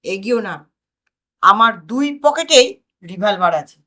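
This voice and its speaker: A woman's voice: medium pitch, lively intonation, slow pace, bright, forceful tone, exaggerated voice. A woman voicing a frightened, cornered man blustering in a cracked voice in a comic audio story. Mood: desperate bluster.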